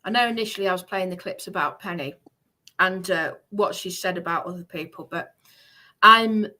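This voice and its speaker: A woman delivering an unscripted, confrontational rant to online trolls, scolding in a halting way and repeating her points for emphasis. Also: fairly low pitch, pushed and bright.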